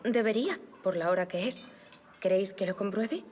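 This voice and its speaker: A woman's voice: medium pitch, moderate pace, a little mellow, a little hiss or hum, natural voice. A woman acting in an audio drama as a deferential but frank and inquisitive lady's maid. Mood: curious, attentive. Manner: respectful, frank.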